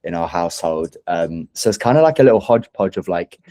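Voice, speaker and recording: A man's voice: low voice, expressive, brisk, mellow. A young man with a London English accent chatting casually as a musician in an interview, enthusiastic and rambling in an informal way.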